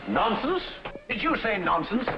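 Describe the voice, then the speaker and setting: An actor playing a brilliant, haughty, sarcastic detective in old-time radio drama, lecturing with pompous precision. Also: male voice, high voice, very animated, a little slow, noisy background, exaggerated voice. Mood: indignant. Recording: dull vintage radio recording, faint music.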